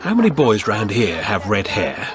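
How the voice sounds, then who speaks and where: An actor playing a kindly, shrewd older police constable in a radio drama, homely and plain-spoken. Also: male voice, on the low side, huge pitch swings, moderate pace, background noise. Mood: firm, wry. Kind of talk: radio drama acting with some narration.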